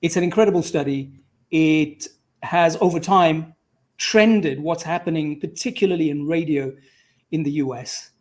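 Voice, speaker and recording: A man's voice: fairly high pitch, moderate pace, a little mellow. A man hosting an interview in a conversational, effusive manner. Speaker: interview host.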